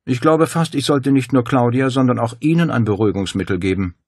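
Guy doing condescending doctor in an audiobook, fake concerned, unbothered, raised pitch, husky. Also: a little fast, darkish tone, no echo, clean studio sound. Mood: unruffled. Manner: solicitous, dryly indulgent. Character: unruffled, condescending male gynaecologist, feigning concern. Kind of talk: dramatic audiobook dialogue.

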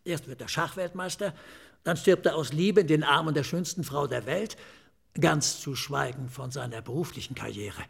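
A slightly eccentric forensic pathologist in a radio drama. His voice is gravelly and rough, set a little high for a man. He explains clinical matters in measured phrases, confiding and relishing each detail. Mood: animated, wry. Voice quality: raspy, creaky. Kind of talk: German radio drama acting.

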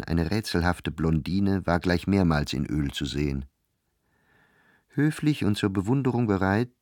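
An actor as an urbane literary narrator in a radio drama, measured and dryly ironic. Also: male voice, low voice, a little mellow, no echo, studio quality, natural voice. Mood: urbane, composed.

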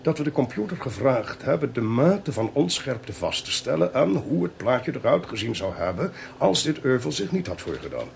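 A man playing a shrewd, theatrical Dutch police chief in a radio drama, explaining things deliberately and wryly. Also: medium pitch, very animated, vocal fry, a little mellow, slight background noise. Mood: triumphant, explanatory. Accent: Dutch (Netherlands).

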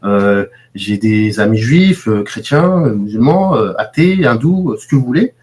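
A man's voice: lowish voice, animated, normal speed, darkish tone. A French-born man in a heated debate, talking bluntly and emphatically, often restarting his sentences. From France. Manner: emphatic, blunt, repeats himself when starting sentences. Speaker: debate participant.

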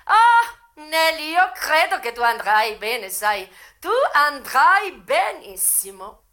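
A bossy middle-aged brothel madam with a German accent. Her voice is high and piercing, swooping widely as she hammers out emphatic, clipped orders in a radio play. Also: big pitch swings, slow, sharp tone, full character voice. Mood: approving. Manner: emphatic, drilling instructions in clipped repeated phrases.

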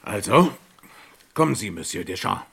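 A man's voice: on the low side, big pitch swings, normal speed, vocal fry, over music. A man acting in a vintage German crime radio play as a polite but unyielding French police commissioner. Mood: courteously firm. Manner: courteous, firm.

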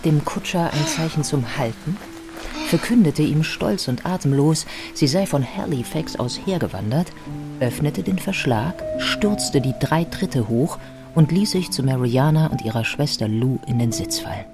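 An actress playing a thoughtful modern biographer, giving measured, articulate literary narration in a radio play. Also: female voice, sits low, expressive, over music. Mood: vivid narration.

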